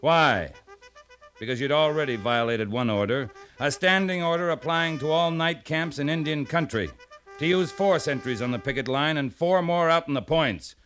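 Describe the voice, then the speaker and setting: A man's voice: on the high side, normal speed, a bit bright, soft background music, close to an everyday voice. An American man acting a stern, tough cavalry captain in a 1950s radio western, speaking in a clipped, commanding military way. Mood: stern rebuke. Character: stern, tough male cavalry captain, loyal to his men. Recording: 1950s radio drama recording.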